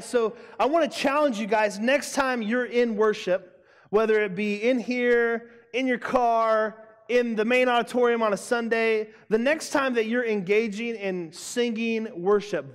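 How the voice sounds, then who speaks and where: A young man preaching a sermon to students, emphatic and loud, repeating his key lines. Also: sits high. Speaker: youth pastor. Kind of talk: youth sermon. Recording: long hall reverb.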